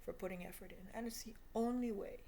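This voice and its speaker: A woman's voice: fairly high pitch, a little fast, mellow, slight background noise. A woman of twenty giving a confessional video diary monologue in an emphatic, repetitive, self-deprecating way. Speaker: vlogger.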